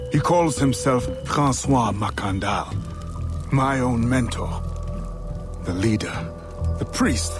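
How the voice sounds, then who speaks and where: A man voicing a solemn older mentor of a secret order in a video game, speaking in measured, formal phrases with a husky, raspy voice. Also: medium pitch. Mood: grave, regretful. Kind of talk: video game character acting.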